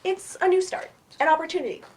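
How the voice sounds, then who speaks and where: Woman acting high-voiced, reassuring therapist. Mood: encouraging, gently firm. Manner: reassuring, measured. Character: earnest, patient therapist, gently firm and encouraging. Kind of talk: staged reading of a stage play. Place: library event room, staged reading.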